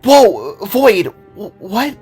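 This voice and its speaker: A man voicing an anxious pregnant woman guitarist in an audio drama, stammering and halting as she strains through pain. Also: sits high, very animated, darkish tone, breathy edge, soft background music, pitched above the performer's own voice, full character voice. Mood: shocked, worried.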